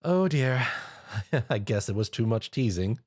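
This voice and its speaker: A man's voice: medium pitch, lively intonation, no room sound, studio quality. A man voicing an elegant, theatrical female unicorn pony with a refined, teasing manner. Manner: refined and teasing, drawn-out endearments.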